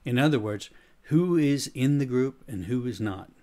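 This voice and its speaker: Middle-aged American man, slight Swedish accent, coaching talk, even mid voice. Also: medium pitch, normal speed. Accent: American with a slight Swedish tinge. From United States. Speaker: leadership coach. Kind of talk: scripted talk on change.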